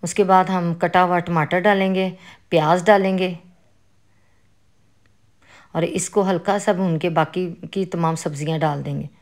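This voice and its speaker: A woman with a Pakistani Urdu accent walks through a home recipe in a calm, instructive way. Her voice sits a little low, and she talks like a cooking host guiding viewers step by step. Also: unhurried, pauses often. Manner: calm, instructive, with religious greetings. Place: home kitchen.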